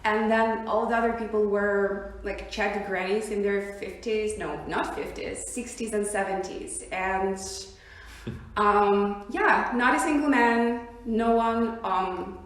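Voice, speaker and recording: A woman's voice: medium pitch, steady tone, slow. A young woman from Siberia with a Russian accent, chatting as a podcast guest, hesitant with many ums and frequent laughs. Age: young adult. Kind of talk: casual interview chat. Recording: very echoey room.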